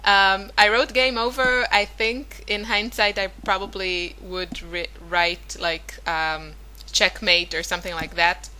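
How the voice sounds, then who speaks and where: Chatty woman, bright voice. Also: medium pitch, fairly even intonation, a little slow, few pauses, clear, resonant tone, low-quality recording. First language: Hebrew. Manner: chatty, rambling, many fillers and self-corrections. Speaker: scrapbooking vlogger. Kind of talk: casual voice-over narration of a craft process.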